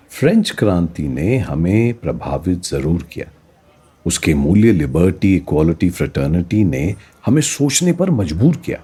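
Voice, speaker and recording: An actor playing a learned teacher who explains history in a didactic, rhetorical way, mixing in English words, in an educational radio play. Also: male voice, mid-range voice, warm tone, husky. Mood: emphatic.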